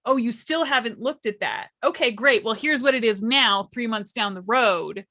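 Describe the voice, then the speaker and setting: Bright-voiced woman explaining casually. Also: on the high side, normal speed. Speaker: workshop panelist. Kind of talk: casual explanatory panel talk.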